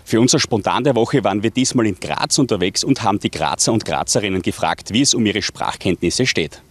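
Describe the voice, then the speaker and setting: A man's voice: on the low side, animated, a little fast. A man who narrates a regional TV magazine and asks passers-by questions. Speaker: reporter and narrator. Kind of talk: scripted magazine narration and street interviews.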